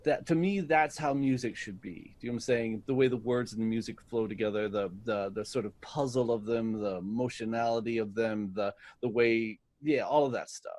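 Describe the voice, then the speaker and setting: A man's voice: mid-range voice, a little fast, hardly any pauses, a little mellow. A man being interviewed as a guest, reflecting thoughtfully and often searching for his words. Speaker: interview guest, voice actor in an audio drama.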